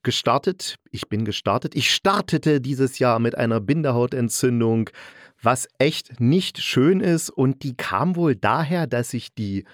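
A man with a mid-pitched voice presents a solo review podcast. He chats loosely and rewords himself along the way, close to the microphone with no room sound. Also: lively intonation, measured pace, no echo. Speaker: podcast host and audio drama critic.

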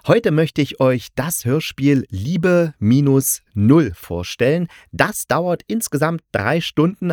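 A man's voice: mid-range voice, no room sound, clean studio sound. A man hosting a podcast as a critic, talking conversationally and explaining things directly to his listeners. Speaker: podcast host and radio drama critic. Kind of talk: chatty solo podcast review.

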